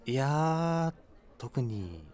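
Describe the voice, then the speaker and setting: A man's voice: mid-range voice, very animated, drawn-out words, a little mellow. An actor playing a vague, socially awkward man on a date in a comedy audio drama, hesitant and noncommittal.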